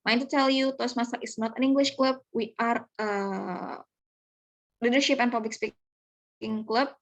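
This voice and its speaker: A woman's voice: fairly high pitch, fairly even intonation, unhurried, lo-fi sound. A woman, earnestly walking listeners through slides in an online club presentation over a video call. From Indonesia. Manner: earnest, welcoming, talks through slides step by step.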